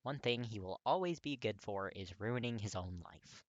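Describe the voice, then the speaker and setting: A man reading fiction aloud as an expressive audiobook narrator. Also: on the low side, normal speed, no room sound, muffled recording. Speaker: podfic narrator.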